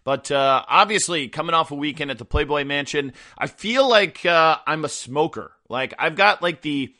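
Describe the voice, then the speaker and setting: A man hosting a podcast in casual chat, his voice hoarse and rough, rambling with many fillers. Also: on the high side, moderate pace, pushed and bright. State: hoarse after a weekend of travel and partying. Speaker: podcast host.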